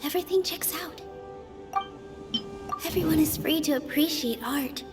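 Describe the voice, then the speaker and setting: A woman voicing a gentle, loyal young stage performer, earnest and reassuring in game dialogue. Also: sits high, normal speed, over music.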